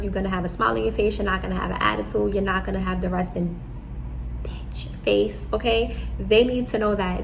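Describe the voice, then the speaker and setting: New York woman, vlogger, mid pitch, gravelly edges, direct and chatty, faint room hiss. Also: low-quality recording. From New York City. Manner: direct, chatty, repeats "okay?" to drive points home. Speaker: vlogger giving job interview tips. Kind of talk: casual advice talk to camera.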